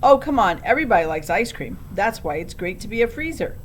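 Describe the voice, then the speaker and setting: A woman's voice: sing-song, brisk, close to an everyday voice. A woman voicing an upbeat, encouraging young girl in a storybook. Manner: bright, emphatic. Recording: low rumbling background noise.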